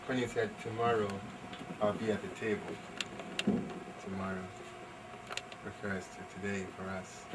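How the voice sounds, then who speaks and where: Low-voiced man, very noisy room recording. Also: flat delivery, measured pace, pauses often. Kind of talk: informal spoken comments in group discussion. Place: live library event room.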